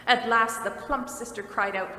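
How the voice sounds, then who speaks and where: A woman giving a clear dramatic reading of a classic story, recorded in an echoey room with a long reverb and faint noise. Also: fairly high pitch, steady tone, moderate pace, pushed and bright. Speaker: reader.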